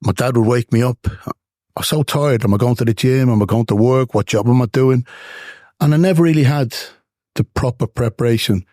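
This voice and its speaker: An older Dublin man, his Irish accent touched with English, speaks in an emphatic, repetitive storytelling way. His voice sits at a middling pitch, and it is recorded close and dry. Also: a little fast, mellow, no echo. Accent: Dublin Irish with English traces. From Ireland. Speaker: guest, former boxer. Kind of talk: interview.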